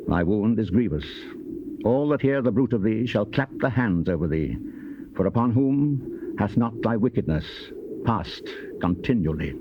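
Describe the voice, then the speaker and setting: A man acting a stern prophet who proclaims wrathful doom in a solemn, emphatic, declamatory dramatized scripture reading. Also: lowish voice. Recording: dull cassette tape with loud noise.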